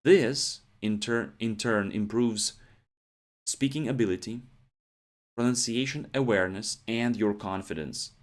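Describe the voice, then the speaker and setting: Podcast host, male, thirties, lowish voice, steady explainer who checks in with listeners. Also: measured pace. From Ukraine. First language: Ukrainian. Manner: explains steadily, often adds "yeah?" and "right?" checks. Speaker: English teacher, podcast host. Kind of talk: podcast lesson monologue.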